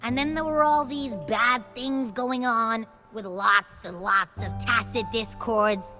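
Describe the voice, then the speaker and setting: A woman voicing a perky, curious young woman in a video game, chatty and playfully expressive. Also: fairly high pitch, slow pace, soft background music. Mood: curious, amused. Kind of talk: scripted video game dialogue.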